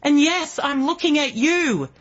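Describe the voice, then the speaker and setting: A woman in her early forties with an Australian accent, hosting political talk radio in an emphatic monologue full of rhetorical questions, with a husky voice. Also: high voice, expressive, measured pace, highs cut off. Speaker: guest radio host.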